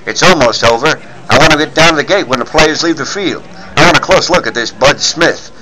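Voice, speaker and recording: Guy doing bossy newspaper boss in an old radio drama, forceful, kinda high, bit of hiss. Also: brisk, low-quality recording. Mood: impatient, dismissive. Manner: emphatic, blustering. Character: blustery, skeptical newspaper chief, adult man, bossy. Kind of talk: 1940s radio serial acting.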